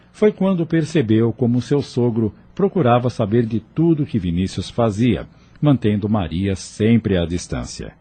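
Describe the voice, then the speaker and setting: A man with a husky, mellow voice narrating an audio novel as a dramatic scripted storyteller. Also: fairly low pitch.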